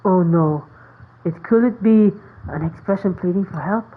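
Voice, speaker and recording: A woman gives casual gameplay commentary, reacting and reading along. Her voice is on the low side. The microphone sounds thin and phone-like. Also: normal speed, breathy edge.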